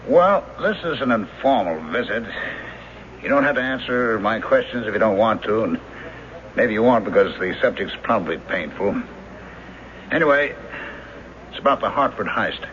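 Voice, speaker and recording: An actor playing a polite, deferential police lieutenant in a radio drama, courteous and a little hesitant. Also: male voice, on the low side, expressive, normal speed, long pauses, natural voice. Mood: tentative, probing. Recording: muffled, faintly noisy 1970s radio recording.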